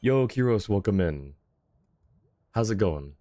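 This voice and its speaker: A man's voice: fairly low pitch, animated, a little slow, mellow, no echo, clean studio sound. A man chatting casually as a game streamer, thinking aloud in an informal way. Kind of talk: casual livestream chat and game commentary.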